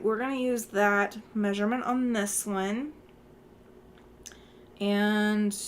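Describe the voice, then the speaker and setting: A woman with a Southern drawl talks slowly and unhurriedly as she quilts, sounding tired at the end of the day. Her voice sits a bit high, and she fills pauses with "um" and easy laughter. Faint background hiss sits under the recording. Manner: chatty, laughs often, thinks aloud with fillers. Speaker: quilting livestream host. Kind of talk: casual chat while quilting.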